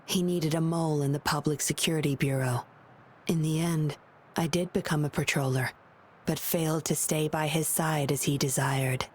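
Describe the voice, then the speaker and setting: An actress plays a guarded woman patroller from a video game, speaking in a low voice. She is composed and wry, with a solitary streak. Game ambience runs noticeably behind her. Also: steady tone, normal speed, lightly acted. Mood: melancholy, reflective. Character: guarded, teasing female patroller with a lonely streak. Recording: clear ambient noise behind voice.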